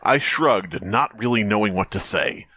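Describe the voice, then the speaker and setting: A man's voice: lowish voice, unhurried, bright, forceful tone, husky, no room sound. A man co-hosting a comedy podcast, bantering over a horror story in a joking, mock-exasperated, sweary way. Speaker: podcast co-host.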